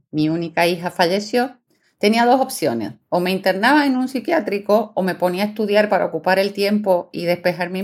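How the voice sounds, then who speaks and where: A woman's voice: on the low side, a little mellow. A middle-aged woman, born in Argentina and long settled in Puerto Rico, speaking conversationally as a podcast guest. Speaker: podcast guest, foundation executive director. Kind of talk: conversational interview account.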